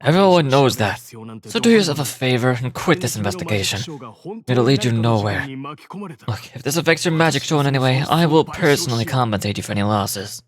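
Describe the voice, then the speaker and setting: A man voicing a gruff, irritable retired magician who talks in a curt, condescending way. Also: mid-range voice, expressive, brisk, crowd noise, lightly acted. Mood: dismissive.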